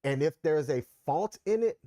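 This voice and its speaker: An easygoing man co-hosting a live stream, steering the talk. His voice is a bit higher than most men's. The sound is dry and close, with no room echo. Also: animated, normal speed, warm tone. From Maryland, USA. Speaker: co-host. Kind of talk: casual chat on a live stream.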